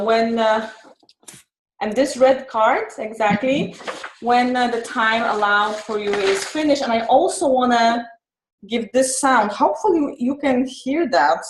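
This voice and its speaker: A woman explaining her timer role at an online meeting, halting with many fillers. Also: fairly high pitch, echoey room, a little hiss or hum, rough recording. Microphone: video call.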